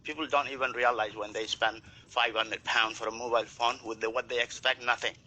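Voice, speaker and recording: A man's voice: mid-range voice, level tone, a little fast, sharp tone. A man from Nepal, a network marketer, talking earnestly in a casual group chat and often repeating his words.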